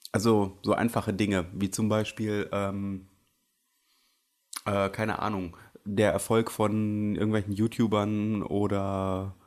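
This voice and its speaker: A man with a lowish voice chats casually on a podcast through a studio mic. He talks freely with lots of fillers and a slightly scratchy throat. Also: little pitch movement, a little mellow. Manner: chatty, many fillers, quick laughs. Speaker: podcast co-host. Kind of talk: casual banter.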